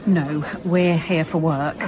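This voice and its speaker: A woman playing a brisk British police chief inspector in a naturalistic radio drama, questioning politely but insistently. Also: sits low, fairly even intonation, noisy background. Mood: businesslike.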